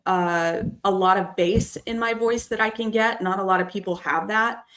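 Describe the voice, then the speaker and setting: A woman's voice: steady tone, fairly bright tone. A woman in her thirties hosting a podcast, telling personal stories candidly about parenting and family. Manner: candid, storytelling, drops voice low and slow for emphasis. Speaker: podcast host. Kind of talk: casual personal chat.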